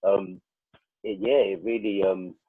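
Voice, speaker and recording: Deep husky man on a phone-like call. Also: big pitch swings. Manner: halting, repetitive, searching for words. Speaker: guest, prison radio producer. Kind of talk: personal reflection in a panel conversation. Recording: roomy, phone-like narrowband call.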